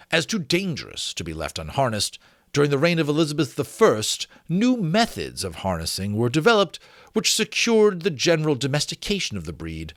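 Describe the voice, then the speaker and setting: Guy reading a book out loud, lowish voice that goes up and down a lot, bit theatrical but chatty too, really dry sound. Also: dry recording. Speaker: host reading books aloud. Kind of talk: dramatic book reading with casual asides.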